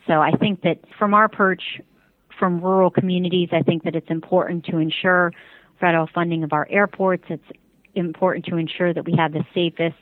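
Lowish-voiced woman on a phone line. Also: no room sound. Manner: careful, hedging, diplomatic. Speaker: interview guest, advocacy group spokesperson. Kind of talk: interview answers.

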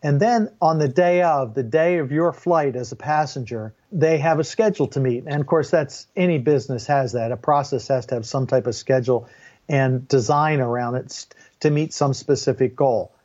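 A man's voice: mid-range voice, moderate pace, darkish tone, muffled recording. An older man, a former pilot being interviewed, explains his views emphatically and with strong opinions. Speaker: interview guest, former airline and Air Force pilot.

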